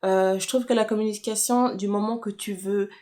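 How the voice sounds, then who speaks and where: A young woman speaks in a casual, chatty vlog style at a middling pitch. She thinks aloud as she answers personal questions, often rephrasing and correcting herself. She comes from Kinshasa and talks like a YouTuber addressing her followers. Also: fairly even intonation, normal speed, warm tone. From Congolese, from Kinshasa, living in Switzerland. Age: young adult.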